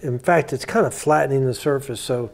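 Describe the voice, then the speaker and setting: A man's voice: mid-range voice, brisk, gravelly. A man giving casual, plain-spoken commentary as a hobbyist restorer, thinking aloud while he works on a hands-on repair.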